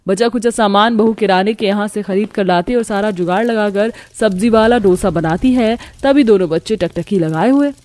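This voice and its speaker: Female narrator for cartoon family tale, medium pitch, music bed underneath. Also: normal speed, hardly any pauses, darkish tone. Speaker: story narrator. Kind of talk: scripted cartoon story narration.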